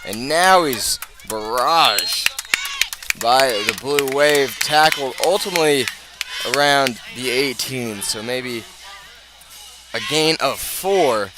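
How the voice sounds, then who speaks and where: A man with an American accent giving live play-by-play football commentary for radio, measured when setting the scene and urgent as the action builds. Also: mid-range voice, background noise. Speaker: play-by-play sports announcer.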